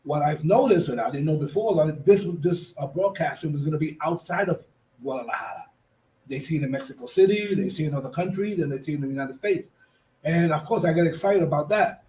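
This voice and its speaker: A man's voice: a little high, animated, fast talker, a little mellow, slight background noise, low-quality recording. A man with a Puerto Rican accent hosting a radio talk show, chatting loosely, repeating words and slipping into Spanish. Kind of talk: casual interview chat.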